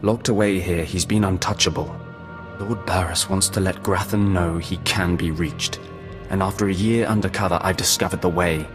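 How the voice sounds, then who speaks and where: Low-voiced gruff sarcastic Imperial operative played by a man. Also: steady tone, normal speed, over music, natural voice. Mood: businesslike. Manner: curt, condescending, needling. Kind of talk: video game voice acting.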